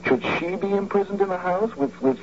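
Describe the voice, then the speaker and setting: An actor playing an anxious, law-abiding architect in an old-time radio drama, flustered and protesting against a shady friend. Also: male voice, high-pitched, fairly quick, creaky. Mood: defensive. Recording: dull old radio recording, faint hum.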